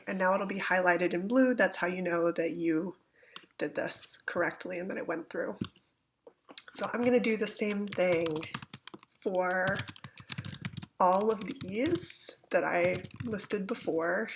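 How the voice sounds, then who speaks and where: A woman with a gravelly voice, getting over a cold, gives an informal step-by-step software tutorial, somewhat hesitant as she thinks aloud. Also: fairly low pitch, measured pace, slight background noise, rough recording. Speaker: instructor giving a software tutorial. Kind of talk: informal step-by-step screencast walkthrough. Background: computer keyboard typing.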